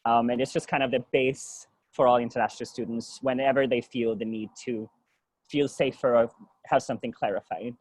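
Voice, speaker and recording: A man's voice: mid-range voice, steady tone, fairly quick, darkish tone, breathy edge, no room sound, rough recording. A young man from Denmark, a native Danish speaker, giving an informal presentation as a student leader. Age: young adult. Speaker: student government president.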